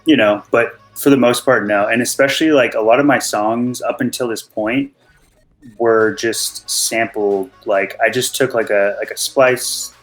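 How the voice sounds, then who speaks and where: A man, a music producer, chatting casually on a livestream in a flat, monotone voice, full of fillers. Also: mid-range voice, measured pace. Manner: laid-back, uses many fillers.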